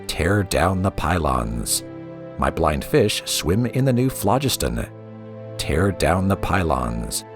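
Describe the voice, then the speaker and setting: Male reader as a cryptic, solemn god of game lore, bass and creaky, intoning prophecies portentously. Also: exaggerated voice.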